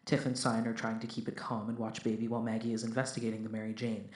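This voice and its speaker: A man's voice: lowish voice, completely flat delivery, fast talker, echoey room, close to an everyday voice. A man playing a composed, literal-minded spaceship crewman in an audio drama, speaking formally and precisely. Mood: matter-of-fact. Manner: formal, precise, matter-of-fact.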